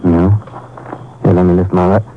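An actor playing an easygoing, helpful junior Texas Ranger in a 1950s radio drama, folksy and deferential. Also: male voice, sits low, flat delivery, moderate pace, background noise. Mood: alert, quiet. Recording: muffled old radio recording with music.

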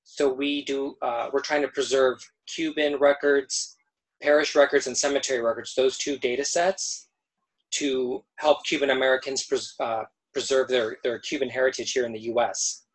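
A man's voice: medium pitch, little pitch movement, normal speed, low-quality recording. A man hosting a podcast interview, asking questions with frequent restarts and repeated words. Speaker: podcast host.

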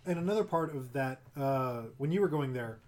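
A man's voice: mid-range voice, expressive, normal speed, darkish tone, slight background noise. A man co-hosting a casual chat, laughing easily, prompting his co-host and filling in explanations.

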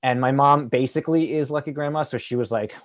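A man's voice: mid-range voice, fast. Man rambling with lots of "like". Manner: rambling, heavy use of "like". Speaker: actor on a panel. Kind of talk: casual storytelling.

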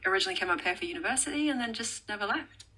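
A woman's voice: mid-range voice, animated, racing, sharp tone, breathy edge, rough recording. A woman from England, a guest coach on a podcast, chatting casually with lots of "like" fillers. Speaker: guest, online fitness coach.

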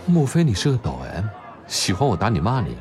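An actor playing a cool young male doctor in an audio drama, gruffly teasing in a dry, blunt, exasperated manner. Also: medium pitch, wildly swooping pitch, fairly quick, a little mellow. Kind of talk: Chinese audio drama acting.

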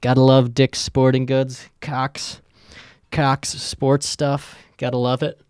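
A man's voice: mid-range voice, little pitch movement, slow pace, darkish tone, clean tone, no echo, studio quality. A young man hosting his own podcast, talking in a loose, joking and rambling way, with lots of swearing. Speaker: podcast host. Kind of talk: casual rambling monologue.

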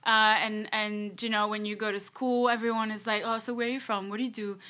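A woman's voice: mid-range voice, little pitch movement, a little fast, pushed and bright, clear, resonant tone. A young woman from France, a life coach, chatting casually as an interview guest with many fillers and quoted thoughts.

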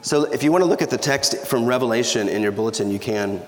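A man's voice: medium pitch, fast talker. A man in his thirties preaching a sermon in a church with a slightly scratchy voice from allergies, talking in a conversational, humorous way. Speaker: pastor. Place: church sanctuary. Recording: echoey church hall with reverb.

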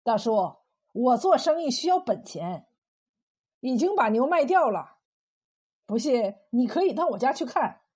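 A woman storyteller voicing a scheming, bullying village husband in a mocking, forceful manner. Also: a little high, big pitch swings, measured pace, long pauses, husky, heavily acted. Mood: sly, mocking.

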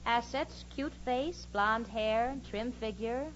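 A woman's voice: fairly high pitch, takes it slowly. An American woman playing a sensible, sardonic single working woman in a 1940s radio comedy. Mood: wry, fond. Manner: sardonic, crisply theatrical delivery. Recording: muffled old radio broadcast, noisy studio audience.

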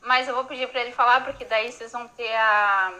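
A woman's voice: on the high side, steady tone, brisk, sharp tone, low-quality recording. A woman sending a chatty voice message to a podcast.

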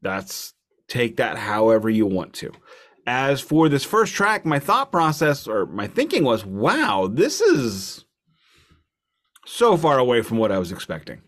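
A man co-hosting a podcast, casually discussing albums in a wry, deliberate way, repeating phrases for emphasis. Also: medium pitch, huge pitch swings, fairly bright tone. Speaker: podcast co-host reviewing albums.

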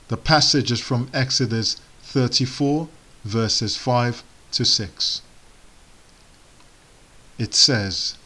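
A man whose first language is Igbo, giving a sermon in slow, measured phrases with solemn pauses. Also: mid-range voice, a bit bright. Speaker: preacher.

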